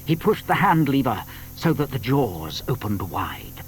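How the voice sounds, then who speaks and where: A man narrating a children's story from a script, with deliberate emphasis. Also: mid-range voice, very animated, a little hiss or hum.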